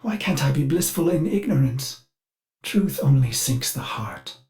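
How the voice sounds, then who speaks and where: Devoted spaceship computer mind, fussy and formal, existential unease, scripted game dialogue. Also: male voice, fairly high pitch, lively intonation, unhurried, darkish tone. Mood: anguished, brooding. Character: anxious, devoted male ship AI with existential dread.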